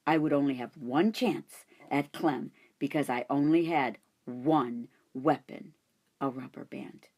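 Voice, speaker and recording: Lady reading a kids' chapter book, low voice, slow and expressive. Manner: expressive, storytelling delivery. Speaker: audiobook reader. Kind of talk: children's audiobook narration.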